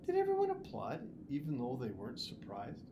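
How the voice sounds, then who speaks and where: Reader doing an anxious eleven year old boy, earnest questions, animated, noise in back. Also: medium pitch, fairly quick, highs cut off. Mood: curious.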